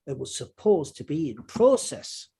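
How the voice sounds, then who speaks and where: A man acting a riverboat captain giving evidence in a courtroom drama. His voice is husky and fairly high for a man, and his answers are clipped, guarded and unyielding. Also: big pitch swings, a little slow, mellow, heavily acted. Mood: guarded, terse. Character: guarded, terse male riverboat captain under questioning. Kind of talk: courtroom drama table read.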